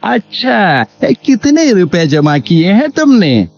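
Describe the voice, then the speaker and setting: A sly, greedy cartoon landlord acted by a man, speaking slowly in a fairly high male voice. He is oily and measured, with a bullying streak under the politeness. The audio is slightly muffled, with music behind it. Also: very animated, a little hiss or hum, full character voice. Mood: smooth, reassuring. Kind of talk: Hindi cartoon moral story dub. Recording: dull lo-fi audio with background music.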